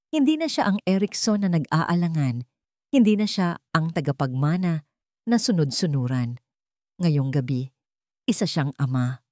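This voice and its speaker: A woman with a fairly low voice reads a dramatic story aloud. Her phrasing is measured, with deliberate pauses for weight. The sound is dry, with very little room around it. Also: dark tone, dry recording. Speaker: storyteller, narrator. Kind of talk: dramatic scripted story narration.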